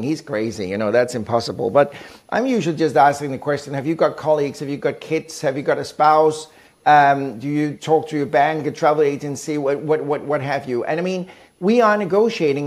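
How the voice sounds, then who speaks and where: A man with a Danish accent speaks as an expert guest in an interview. His voice sits a little high for a man. He explains things conversationally, repeating words for emphasis. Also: darkish tone. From Denmark.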